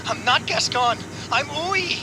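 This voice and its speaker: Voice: high voice, lively intonation, takes it slowly. Pleading prisoner, agitated, anime game dialogue, noticeable background noise. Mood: desperate, pleading. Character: agitated, pleading prison inmate protesting innocence.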